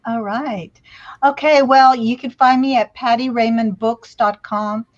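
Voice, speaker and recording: A woman's voice: fairly high pitch, fairly even intonation, a little slow. A woman speaking informally as a guest author on an online panel, plugging her work over a video call. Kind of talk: informal self-promotion on a panel. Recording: dull, lo-fi video-call audio.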